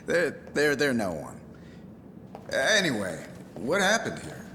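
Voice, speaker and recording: An actor playing a nervous, shifty criminal in a game cutscene, hesitant, evasive and placating. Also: male voice, mid-range voice, wildly swooping pitch, slow pace, noisy background, heavily acted. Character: shifty, nervous male criminal talking his way out. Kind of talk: dramatic game cutscene acting. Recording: reverberant hall, music behind.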